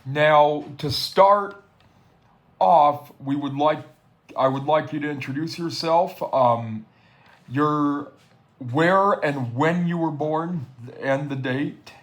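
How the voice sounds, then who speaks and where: Slow-paced male interviewer, higher voice. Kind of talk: informal recorded interview.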